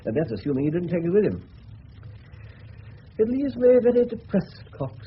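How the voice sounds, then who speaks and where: A senior English detective, wry and easily dejected, in a 1970s radio play. His fairly high voice moves up and down a lot, and it comes through a narrow, tinny old broadcast. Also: big pitch swings, moderate pace, husky, exaggerated voice. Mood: wry, resigned. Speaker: senior detective in a murder mystery. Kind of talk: 1970s British radio drama acting. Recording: muffled phone-like old radio broadcast, faint noise.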